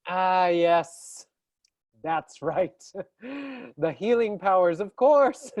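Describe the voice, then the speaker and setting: A woman's voice: on the low side, lively intonation, extremely slow, darkish tone, no echo, highs cut off, heavily acted. A woman playing an effusive, grateful rescued heroine in a staged reading.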